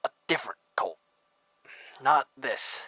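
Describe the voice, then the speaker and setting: An injured, exhausted former agent, played by a man, who pushes out his words in strained, halting bursts. His voice is bright and close on a dry mic. Sighs and breathy whispers slip in between his lines. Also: medium pitch, lively intonation, no room sound, exaggerated voice. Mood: weary. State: injured, weak and short of breath. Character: wounded, bleeding man, weary former federal agent. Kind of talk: audio drama acting.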